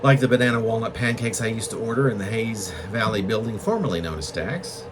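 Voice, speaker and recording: A man with a low voice reads his own poetry with lively, swinging intonation. His phrasing is deliberate and halting, pausing in the middle of thoughts. The recording has a clear background hum, like air conditioning. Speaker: poet reading own work. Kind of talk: literary poetry reading, reflective monologue.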